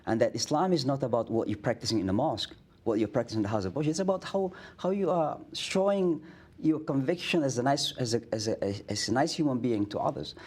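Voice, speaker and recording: A man's voice: mid-range voice, expressive, quick pace, a little mellow, breathy edge. A middle-aged man with an Indonesian accent, a guest imam telling stories in a studio interview, earnest, with many restarts and fillers.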